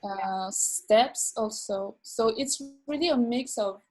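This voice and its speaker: A woman from France, a dancer and teacher, telling her story in an interview with many pauses, fillers and repeated words. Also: a little high, a little mellow, rough recording. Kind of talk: video call interview.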